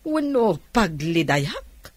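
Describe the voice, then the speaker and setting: A woman's voice: lowish voice, sing-song, takes it slowly, dry recording, heavily acted. A woman playing a lonely, middle-aged mother with grown children in an Ilocano radio drama. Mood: evasive, wistful.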